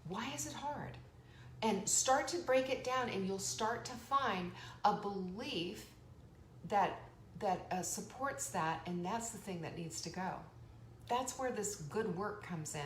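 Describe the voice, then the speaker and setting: A woman hosting a live online motivational show, chatty and energetic, laughing often and reading out viewer comments. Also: medium pitch, slow. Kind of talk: motivational live-stream talk. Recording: echoey room with faint background noise.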